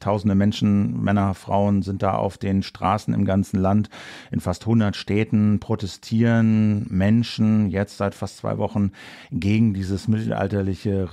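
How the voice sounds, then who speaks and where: Low gravelly male podcast host. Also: dark tone, dry recording. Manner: brisk, conversational, with fillers. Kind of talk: political podcast talk.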